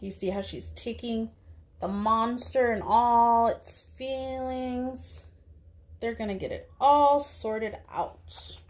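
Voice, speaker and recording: Slow, higher-pitched woman teacher reading to children. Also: slight background noise. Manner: slow, deliberate, child-directed delivery with drawn-out words. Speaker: preschool teacher. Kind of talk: children's read-aloud and lesson to camera. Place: small indoor room.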